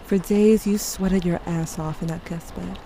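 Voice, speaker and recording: A woman's voice: lowish voice, steady tone, a little slow, mellow. A woman playing a grieving, sardonic teenage girl who narrates her story in a confiding audio drama style. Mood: weary, tense. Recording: clearly noisy, ambient sound behind.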